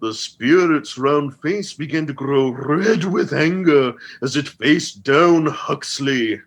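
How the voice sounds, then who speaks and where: A man playing a dramatic storyteller, narrating a comic fantasy radio play in a measured, ominous manner. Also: fairly high pitch. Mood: measured, suspenseful.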